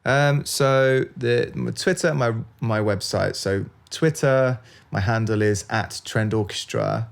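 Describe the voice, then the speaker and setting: A man's voice: mid-range voice, slow, gravelly, few pauses, smooth. A man, a composer, chatting casually as an interview guest, with lots of fillers and restarts. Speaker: video game composer, guest.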